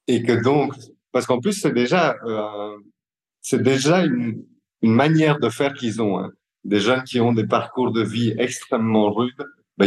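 A man's voice: on the low side, a little mellow, low-quality recording. A man with a Belgian French accent reflecting in an interview as a care worker, explaining hesitantly and searching for his words.

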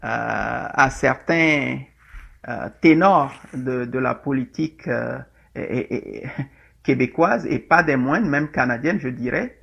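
A man's voice: mid-range voice, slow, gravelly, fairly bright tone, slightly rough. A middle-aged man from Togo, giving a presentation in a hesitant way with many fillers and restarts. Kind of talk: presentation talk. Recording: lo-fi with dull sound.

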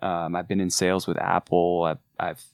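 A man's voice: sits low, level tone, normal speed, creaky, clean tone, dry recording, studio quality. A man from California with a laid-back surfer accent, chatting earnestly on a podcast as an artist manager. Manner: earnest, enthusiastic, with frequent restarts. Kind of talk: casual podcast chat.

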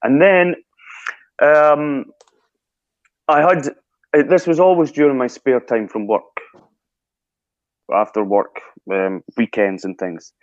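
A Scottish man, a guest telling lively stories in a casual interview and acting out his own past thoughts. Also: mid-range voice, slow pace, pauses often, no room sound, highs cut off. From Scotland.

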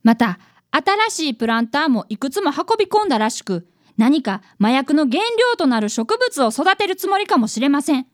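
A woman's voice: high voice, big pitch swings, moderate pace, no room sound, studio quality. A woman playing an earnest, polite eleven-year-old boy apprentice detective in a radio drama, narrating and talking dramatically.

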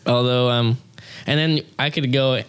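A man's voice: medium pitch, steady tone, measured pace, clean tone. Chatty young man, frequent "you know". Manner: rambling, many fillers like "you know" and "um". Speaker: opera singer, interview guest. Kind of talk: casual conversational interview.

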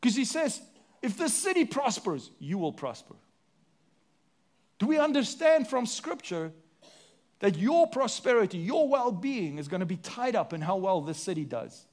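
A man with a South African accent preaching a sermon to his congregation, asking the room questions and swinging between emphatic and hushed delivery. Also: high voice, very animated, normal speed. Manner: preaching with sweeping rises and drops, rhetorical questions. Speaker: pastor.

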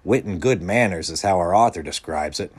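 A man voicing an earnest boy with plans to pitch, explaining persuasively in a storybook reading. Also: fairly quick, slightly rough. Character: earnest, scheming boy, eager to persuade his friends.

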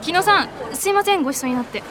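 A bubbly young office junior acted by a woman in a voice drama, high and very animated. She is polite, deferential and a little lazy. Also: racing, full character voice. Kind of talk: naturalistic voice drama acting.

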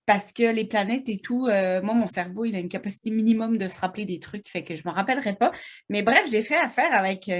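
A woman with a Québécois accent co-hosting a casual podcast, chatty and giggly, with plenty of fillers. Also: a little high. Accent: Québécois French. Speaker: podcast co-host. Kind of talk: casual chat.

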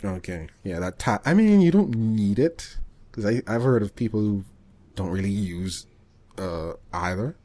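Man, lowish pitch, podcast co-host, casual gamer talk, informal, halting, explanatory. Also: animated, warm tone. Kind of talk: casual chat about video games.

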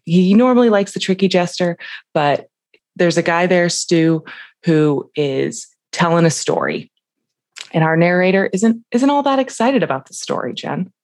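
A woman's voice: fairly low pitch, a little mellow, dry recording. A woman co-hosting a book podcast, chatting casually and enthusiastically about a short story. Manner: enthusiastic, reads quotes aloud. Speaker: podcast co-host. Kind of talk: casual literary discussion.

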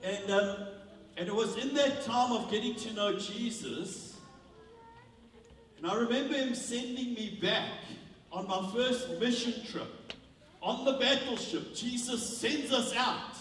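A man's voice: very high voice, measured pace, fairly bright tone, slight background noise. A man in his fifties with a South African accent, preaching and telling his testimony in a church, with frequent restarts. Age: 50s. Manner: repetitive restarts, grows more fervent. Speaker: visiting preacher. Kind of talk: sermon with testimony. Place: church hall. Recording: echoey hall, voice from far off.

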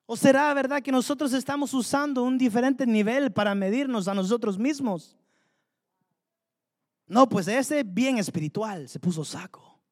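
A man's voice: very high voice, normal speed. A man preaching a sermon, fervently asking the congregation rhetorical questions. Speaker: pastor. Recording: long hall reverb.

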